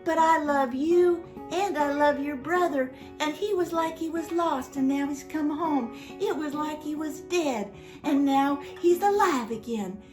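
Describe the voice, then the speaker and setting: A woman's voice: high voice, a little slow, over music. A woman voicing an overjoyed, loving father who exclaims and laughs as he celebrates. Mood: tender. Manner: exclaiming, laughing, breathless with joy. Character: overjoyed loving father, middle-aged man.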